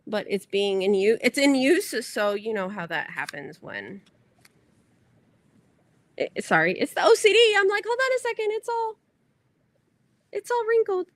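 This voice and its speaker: A woman's voice: sits high, fairly bright tone, dry recording. A woman hosting a crochet livestream, chatting casually and rambling as she corrects herself. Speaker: crochet channel host.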